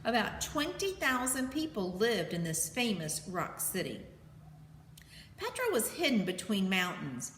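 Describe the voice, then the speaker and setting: Female teacher, read-aloud lesson, mid-pitched voice in a reverberant room with faint noise behind. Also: sing-song, a little slow, fairly bright tone. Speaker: teacher reading aloud to students. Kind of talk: read-aloud lesson from a children's nonfiction book. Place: indoor room with heating running. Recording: echoey, reverberant room with background hum. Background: heater noise.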